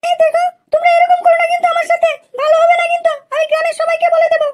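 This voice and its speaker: Extremely squeaky cartoon housewife. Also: female voice, level tone, racing. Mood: protesting. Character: squeaky, protesting village housewife. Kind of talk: amateur comedy cartoon voice. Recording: loud noise with clicks.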